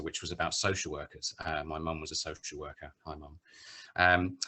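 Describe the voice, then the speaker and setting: A middle-aged man with a British accent delivers a prepared talk. His voice sits very deep yet has a bright, firm edge. He is articulate and measured, like a writer used to telling stories to an audience. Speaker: theatre director and writer giving a talk. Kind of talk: prepared speech at an online panel event.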